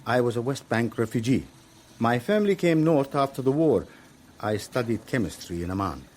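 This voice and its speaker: An actor playing an earnest, courteous refugee chemist from Jordan in a radio drama, polite and self-serious. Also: male voice, medium pitch, lively intonation, normal speed, a little mellow, slight background noise, natural voice.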